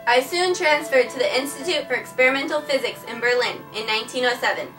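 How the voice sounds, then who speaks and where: A woman's voice: sits high, lively intonation, quick pace. A woman narrating a scripted first-person history story, reading it plainly and earnestly. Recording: distant mic with faint background music.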